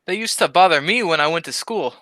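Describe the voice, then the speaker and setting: Man acting as dim-witted wisecracker, silly, cheeky, forceful bright tone, old-time radio comedy. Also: on the high side, expressive, fairly quick, no room sound.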